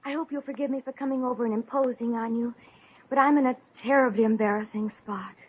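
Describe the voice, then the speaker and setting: Shy mousy girl, high breathy voice, apologetic, old muffled radio comedy. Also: steady tone, quick pace. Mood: embarrassed, timid. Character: shy, mousy, quiet young woman, timid and apologetic. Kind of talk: 1950s radio comedy acting. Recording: dull old radio recording.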